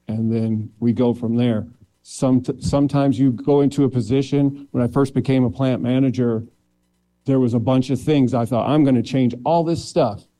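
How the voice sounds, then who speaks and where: Very dark-voiced man candidate, earnest. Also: medium pitch. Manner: earnest and plainspoken, with light joking. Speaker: county clerk candidate. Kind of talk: candidate forum answers.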